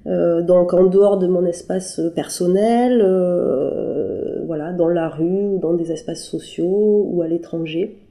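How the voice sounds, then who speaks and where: A woman artist answering questions in a halting, conversational way, with many fillers and restarts. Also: fairly low pitch, dark tone. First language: French. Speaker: interviewed artist. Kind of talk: hesitant conversational interview. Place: her apartment.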